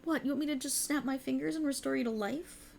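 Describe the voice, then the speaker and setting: A woman's voice: sits high, fast talker, creaky, a little mellow, slight background noise, pitched above the performer's own voice. A woman voicing a sultry, cruel and mocking otherworldly warlock patron in a theatrical ASMR roleplay, teasing and condescending. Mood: scornful, condescending.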